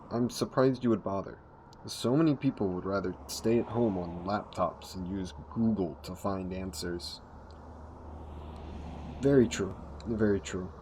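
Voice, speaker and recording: Man as a slow, low-voiced courtly vampire. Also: a little hiss or hum, lightly acted. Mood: courtly, charming. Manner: formal, gentlemanly, coaxing, unhurried. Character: courtly, seductive male vampire, hungry and predatory. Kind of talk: scripted roleplay audio, intimate monologue to the listener.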